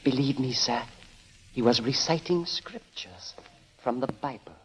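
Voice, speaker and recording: An actor playing an elderly, precise and excitable gentleman in a radio drama, speaking with mannered emphasis. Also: medium pitch, measured pace, natural voice, poor audio. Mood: insistent. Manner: mannered, emphatic, hesitating for effect. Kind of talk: 1940s radio acting.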